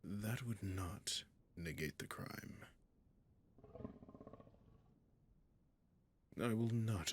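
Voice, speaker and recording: A very low-voiced, slow-speaking dragon king, acted by a man, courtly yet menacing. His husky growl slips into breathy whispers, and every formal phrase lands as a possessive command in an intimate fantasy roleplay. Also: a bit bright, full character voice. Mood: cold, menacing. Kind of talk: scripted fantasy audio roleplay addressed to the listener.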